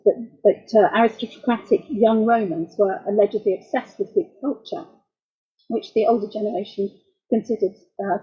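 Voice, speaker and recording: A middle-aged woman lecturing as a translator, explaining jokes in a wry, measured way. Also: mid-range voice, husky, muffled recording. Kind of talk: academic talk.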